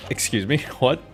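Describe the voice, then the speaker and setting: A man's voice: mid-range voice, gravelly, darkish tone. A man streaming a game, giving casual commentary as he plays. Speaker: gaming streamer. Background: game music.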